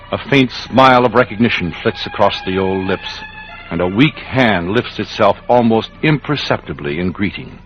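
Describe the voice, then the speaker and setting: A man's voice: fairly low pitch, close to an everyday voice. A man playing the solemn narrator of a western radio drama, reading in a dramatic storytelling style. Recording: muffled old radio broadcast.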